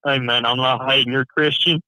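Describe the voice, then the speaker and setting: A man's voice: mid-range voice, steady tone, fairly quick, pushed and bright, no echo, low-quality recording. A man from Alabama with a Southern accent calling into a podcast, playful and joking. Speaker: caller.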